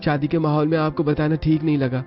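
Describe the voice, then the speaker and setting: A man's voice: fairly high pitch, flat delivery, fast, close to an everyday voice. A man playing an earnest, dutiful young son in a cartoon family story. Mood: earnest, apologetic. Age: young adult. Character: dutiful, earnest newly married son explaining himself. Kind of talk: Hindi cartoon story voice acting. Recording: dull lo-fi audio over music.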